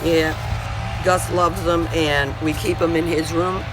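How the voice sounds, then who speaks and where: A man's voice: on the high side, level tone, slow pace, loud music behind the voice, poor audio. A man hosting a storytime for young children, chatting and reading aloud in a folksy way. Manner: folksy, repeats questions, talks aloud to companion. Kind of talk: casual chat to young children and picture-book read-aloud.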